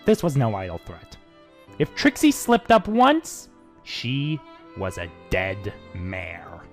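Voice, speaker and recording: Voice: medium pitch, sing-song, slow pace. An amateur narrator giving a dramatic scripted reading of a fan fiction story, with music behind.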